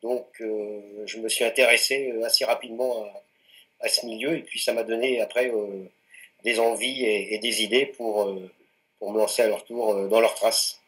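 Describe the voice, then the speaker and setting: A man's voice: on the low side, steady tone, moderate pace, fairly bright tone, poor audio. Hesitant middle-aged French man. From France, Anjou region. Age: 40s. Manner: hesitant, many fillers and restarts. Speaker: mountaineer guest. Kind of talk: casual interview answers.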